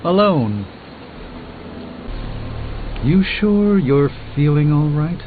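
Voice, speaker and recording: An actor playing an erudite, dryly witty sorcerer in a video game, with pompous, theatrical, ornate delivery. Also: male voice, on the high side, lively intonation, very slow. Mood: dry, composed. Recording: muffled phone-like band, music behind.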